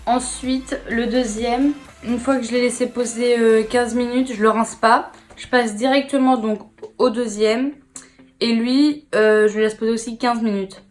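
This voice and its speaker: A young woman with a hoarse voice, chatting casually to camera in a beauty vlog, full of slang and swearing. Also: fairly high pitch. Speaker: beauty vlogger.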